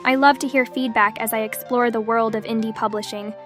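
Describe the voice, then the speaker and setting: Woman host over clear music, slightly high. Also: steady tone, brisk. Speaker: author and channel host. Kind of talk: scripted supporter appeal.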